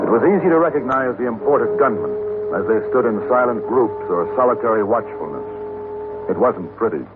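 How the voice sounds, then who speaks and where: An actor playing a cool, calculating hired gunfighter in a measured, dry, urbane way, in 1950s western radio style. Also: male voice, mid-range voice, lightly acted. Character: cool, calculating male hired gunfighter, mature and self-assured. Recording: tinny, noisy old radio recording.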